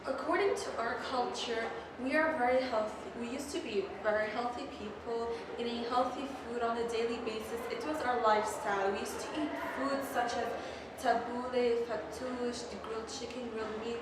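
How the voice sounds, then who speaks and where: A young woman speaks earnestly, listing dishes one by one. Her voice is fairly high and bounces around the room. Steady background noise runs behind. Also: moderate pace. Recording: echoey room, distant mic, noisy.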